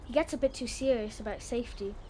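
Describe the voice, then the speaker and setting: A high, girlish voice for a dreamy and earnest schoolgirl acted by a woman in a children's audio play. She chatters with open curiosity. Faint outdoor noise and birdsong sit behind her. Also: fast, dark tone, natural voice. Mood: chatty. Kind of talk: children's audio drama acting.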